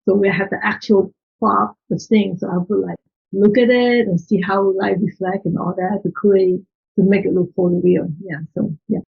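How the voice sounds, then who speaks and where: Woman guest with a Chinese accent, husky and gravelly, easygoing explainer. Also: medium pitch, fairly even intonation, normal speed, phone-quality sound. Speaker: interview guest. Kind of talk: conversational interview answers.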